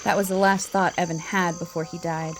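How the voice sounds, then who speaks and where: A woman giving scripted, dry, deadpan narration for a darkly comic audio drama. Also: fairly low pitch, a little fast, background noise.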